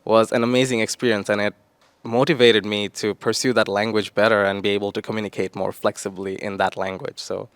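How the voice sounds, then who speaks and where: Low-voiced young man, smooth and dry. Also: little pitch movement, a little fast, no room sound. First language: not English. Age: young adult. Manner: thoughtful, with fillers and self-corrections. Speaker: senior teaching assistant. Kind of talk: interview answer.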